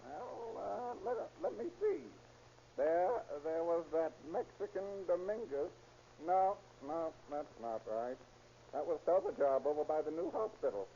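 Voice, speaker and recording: A man's voice: a little high, big pitch swings, a little slow, creaky, dark tone, full character voice. An actor playing a folksy, forgetful old workman who rambles hesitantly in a 1930s radio drama. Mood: flustered, rambling. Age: older. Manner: hesitant, rambling, rustic old-fashioned talk. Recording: hissy muffled 1930s radio recording.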